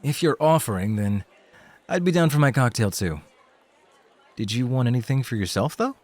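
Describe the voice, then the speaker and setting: A man's voice: medium pitch, animated, normal speed, a little mellow, no echo, close to an everyday voice. A man acting in an ASMR roleplay as a laid-back rookie club host, casual, hesitant and a little awkward. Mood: eager, casual.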